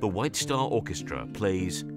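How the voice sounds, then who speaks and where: A man reading scripted documentary narration in a measured announcing manner. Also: low voice, very animated, over loud music.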